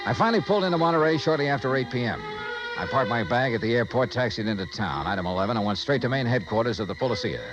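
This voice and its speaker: An actor playing a dogged, wry insurance investigator in 1950s radio noir style, with clipped delivery. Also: male voice, medium pitch, expressive, racing, natural voice, low-quality recording. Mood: dry narration. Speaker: lead actor and narrator. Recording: vintage radio drama with music.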